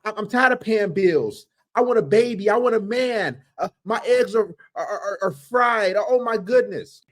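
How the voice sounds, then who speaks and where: Male commentary host, higher-pitched voice, emphatic repetitive ranting delivery. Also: moderate pace. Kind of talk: reaction commentary.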